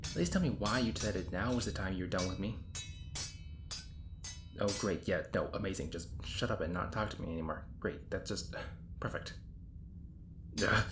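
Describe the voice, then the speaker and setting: In a roomy space over noisy ambience, a man acts out a sarcastic, exasperated hero in a roleplay drama. His low voice rambles in casual, modern phrasing, like someone arguing with an enemy he knows well. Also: normal speed, long pauses. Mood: bitter sarcasm. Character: exasperated, sarcastic young hero stuck in time loops. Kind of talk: audio roleplay acting, conversational monologue. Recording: roomy echo, clear background noise. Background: designed ambience.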